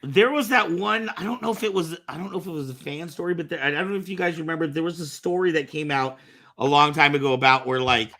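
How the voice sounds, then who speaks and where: A man's voice: a little high, fast, pushed and bright. A man chatting informally as a talk show panelist, rambling a little as he goes. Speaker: podcast panelist. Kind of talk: casual panel chat.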